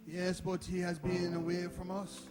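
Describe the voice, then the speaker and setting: A man's voice: high voice, monotone, normal speed, loud music behind the voice, natural voice. A man playing a patient, worried older great-grandfather in a family drama, hesitant and placating. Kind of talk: live radio soap acting.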